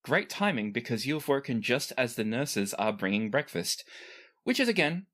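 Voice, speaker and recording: A man's voice: mid-range voice, sing-song, moderate pace, a bit bright. A man runs a tabletop game in a conversational way. His mid-range voice moves up and down a lot as he explains the world and wanders into chatty asides. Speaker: game master of a tabletop role-playing podcast.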